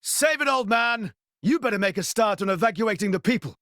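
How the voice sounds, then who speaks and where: A man's voice: sits high, lively intonation, a little fast, a bit bright, dry recording, studio quality. An actor voicing a boastful, brash young man with a show-off manner. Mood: cocky. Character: cocky young male prince, brash show-off.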